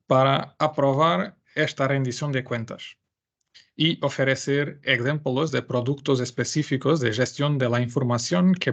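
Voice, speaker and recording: A man with a Portuguese accent, presenting a webinar in careful, slightly halting non-native Spanish as an information management adviser. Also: medium pitch.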